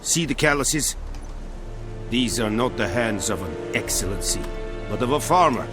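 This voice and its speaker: An actor playing a stern male army commander in a video game, speaking in a formal, measured, commanding way. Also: medium pitch, animated, natural voice. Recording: game music behind.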